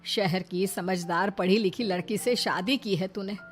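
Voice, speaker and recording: A woman's voice: mid-range voice, unhurried, soft background music, lightly acted. A woman voicing a long-suffering middle-aged mother-in-law in a story, protective and pleading in an earnest, plaintive way. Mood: gentle, sorrowful. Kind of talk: dramatised Hindi moral story.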